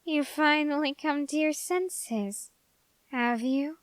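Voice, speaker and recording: A woman plays an ambitious young man with a dark heart in an intimate ASMR roleplay. The delivery is slow and formal, full of deliberate pauses. The sound is dry and close, with no room around it. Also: high-pitched, no room sound. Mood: indignant, smug. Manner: deliberate, halting, formal phrasing with drawn-out pauses. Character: ambitious, dark-hearted young male human bargaining with a demon.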